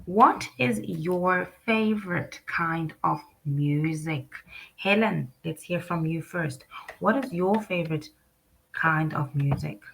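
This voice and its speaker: A woman's voice: lowish voice, slow pace, vocal fry, a little hiss or hum, low-quality recording. A woman teaching an online English class over a video call, encouraging and giving detailed feedback.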